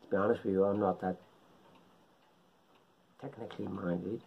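A middle-aged man giving an informal tutorial in short, halting phrases, speaking at home into a camera microphone. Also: sits low, fairly even intonation, creaky, muffled recording, slight background noise. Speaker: amateur radio hobbyist presenter. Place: indoors, living room at home.

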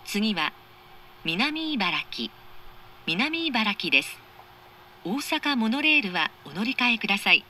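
A woman making formal, measured, carefully articulated scripted train announcements over an onboard speaker. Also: a little high, sing-song, pushed and bright, a little hiss or hum. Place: recorded inside a train carriage.

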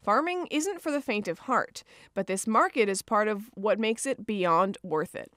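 Young female field reporter, peppy, lively and dry-miked. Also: mid-range voice, dry recording. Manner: bubbly, upbeat, quick reactions. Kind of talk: TV feature reporting. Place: outdoor farmers market.

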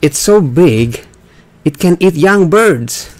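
A man's voice: fairly high pitch, animated, slow pace. A middle-aged man in a casual read-aloud chat with children, encouraging them, repeating things and prompting with questions. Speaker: host and parent reading with children. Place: small bedroom, indoors. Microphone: desktop condenser microphone.

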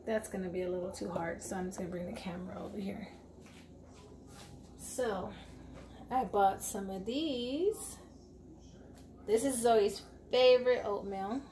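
A woman in her forties, chatting upbeat to her viewers in a casual grocery haul vlog, filmed at arm's length in her home kitchen. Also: mid-range voice. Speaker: vlogger. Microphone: camera at arm's length. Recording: echoey kitchen, faint room noise.

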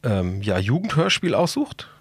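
A man's voice: mid-range voice, big pitch swings, moderate pace, breathy edge, no room sound. A man hosting a podcast in casual chat, talking in a colloquial, blunt, joking way. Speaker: podcast host.

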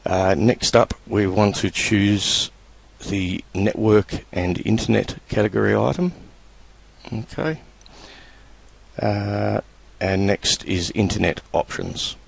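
A man presenting a screen-recorded computer tutorial, talking in a relaxed, halting way with many fillers. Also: fairly low pitch, vocal fry, breathy edge.

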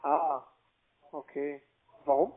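A man with a foreign accent in German, a non-native speaker who argues as a call-centre caller in halting, repetitive, badgering broken sentences. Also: on the high side, little pitch movement. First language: not German. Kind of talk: argumentative phone conversation. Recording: narrow, tinny phone line.

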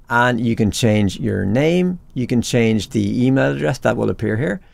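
A man narrating an instructional software screencast in a casual, conversational, explanatory way, recorded as a close voice-over. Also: fairly low pitch, vocal fry, dry recording. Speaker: software tutorial presenter.

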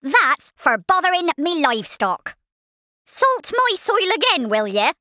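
Squeaky West Country farmer worm. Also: sing-song, bright, forceful tone, no room sound, clean studio sound, exaggerated voice. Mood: riled. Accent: English West Country. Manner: rustic, exclamatory, drawn-out delivery. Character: cartoon farmer worm, cheeky, easily riled, rustic. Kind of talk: exaggerated video game voice barks.

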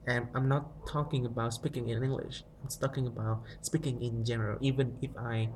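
A man's voice: medium pitch, fairly even intonation, fairly quick, background noise. A young man, a native Vietnamese speaker, musing hesitantly into a phone held at arm's length in a dim room at night, with many restarts. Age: young adult. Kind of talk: casual selfie monologue.

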